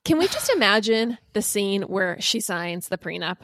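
A woman's voice: medium pitch, sing-song, moderate pace, fairly bright tone, no room sound. A woman with a mid-range voice chats casually as a podcast co-host. She leans on sarcasm and playful exaggeration. The sound is dry, with very little room in it. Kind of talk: casual comedic gossip chat.

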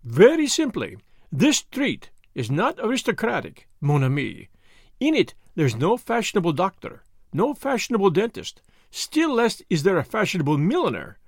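A man's voice: high-pitched, huge pitch swings, normal speed, vocal fry, no echo, clean studio sound, full character voice. A man voicing a fussy, vain Belgian detective with a French accent, pompous and precise in his speech. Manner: pompous, precise, self-satisfied. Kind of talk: audiobook character voice.

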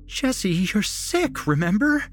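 A man's voice: sits high, very animated, slow, faint music behind, voice pushed up, heavily acted. A man voicing a protective woman doctor in an audio story, insistent and pleading. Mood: urgent, pleading.

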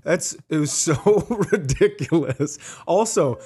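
High-voiced, unhurried man, bantering co-host. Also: lively intonation, a little mellow, slightly rough. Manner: incredulous, joking. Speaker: comedy podcast co-host. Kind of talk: casual comic banter.

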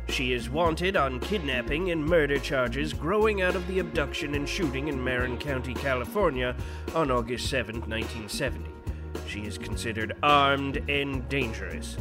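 A hard-line FBI director, acted by a man, makes a formal announcement in a period audio drama. He sounds authoritative and accusatory, over clearly audible music. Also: medium pitch, natural voice.

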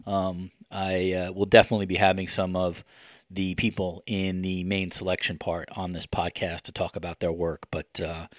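A middle-aged man hosts a podcast in a lowish voice, close and dry on the mic. He talks conversationally, hesitating and letting phrase endings drop quieter. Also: flat delivery, normal speed, no room sound. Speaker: podcast host.

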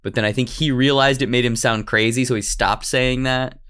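A man's voice: medium pitch, fairly even intonation, normal speed, sharp tone, clean tone. A man hosting a casual podcast, telling a story in a wry, rambling, joking way. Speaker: podcast host.

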